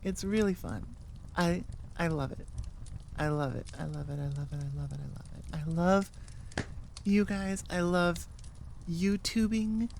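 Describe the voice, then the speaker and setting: A woman's voice: on the low side, a little slow, vocal fry, a little mellow, a little hiss or hum. A woman chatting casually and unscripted as the host of her audio channel, rambling in a playfully self-mocking way. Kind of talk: casual unscripted outro chat.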